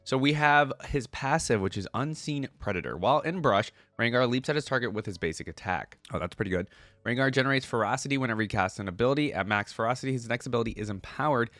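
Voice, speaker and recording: A man's voice: mid-range voice, quick pace, a bit bright, no room sound. A man hosting a reaction video, commenting casually and informally as he thinks aloud. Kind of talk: reads game text aloud between comments. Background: music.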